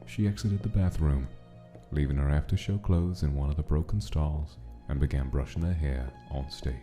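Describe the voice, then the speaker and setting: A man's voice: rumbling low, normal speed, mellow, soft background music. A man reading scripted narration of a gritty gangster-era story in a comic dub. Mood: brooding, measured.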